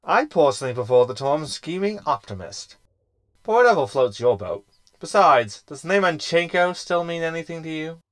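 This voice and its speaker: An actor playing a scheming, sarcastic hologram in a sci-fi comedy audio drama, with an arch, wordy manner. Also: male voice, fairly high pitch, sing-song, moderate pace, a bit bright, clean tone, dry recording, clean studio sound. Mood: smug. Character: scheming, sarcastic male hologram crewman, self-satisfied. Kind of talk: science fiction comedy audio drama acting.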